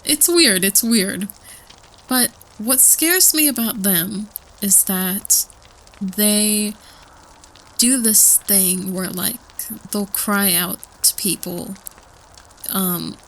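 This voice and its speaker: A woman's voice: mid-range voice, drawn-out words, fairly bright tone, rough recording. A woman playing a nervous student who rambles through spooky folklore in a hesitant, casual way, repeating herself, in an audio roleplay. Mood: uneasy fascination. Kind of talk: casual campfire storytelling roleplay.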